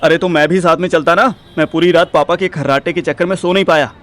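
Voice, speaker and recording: A man's voice: on the high side, steady tone, fast, natural voice. A man playing a hot-headed, jealous, teasing young boyfriend in an audio drama, with an Indian accent and exclamatory delivery that mixes in English. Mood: teasing, hurt. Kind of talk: Hindi audio drama acting. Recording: music behind the voice.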